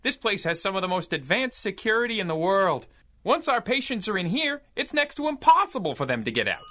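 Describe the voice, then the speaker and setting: A naive young asylum orderly in a vintage-style radio play. His voice is bright and very animated in pitch. He is deferential and earnest, prone to stammering. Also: brisk, heavily acted. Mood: polite. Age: young adult. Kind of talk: 1930s-style radio drama acting.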